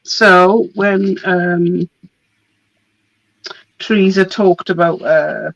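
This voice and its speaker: A woman's voice: on the low side, steady tone, extremely slow, vocal fry, no room sound, dull audio. A woman getting a cold, chatting casually as she shows off craft papers, laughing often and trailing off with ums. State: getting a cold, losing her voice. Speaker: host showing craft papers. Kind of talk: casual product show-and-tell.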